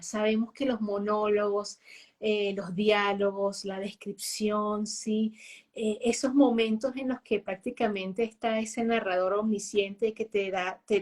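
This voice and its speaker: A chatty woman guest in relaxed conversation, her voice sitting a bit high. She talks openly, often tucking little tag questions onto the end of her sentences. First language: Spanish. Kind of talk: casual conversation.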